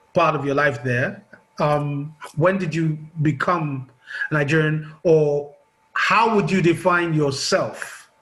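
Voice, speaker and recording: A man's voice: a little high, fairly bright tone, rough recording. A man interviewing a guest, asking careful questions and giving encouraging affirmations. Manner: encouraging, frequent short affirmations.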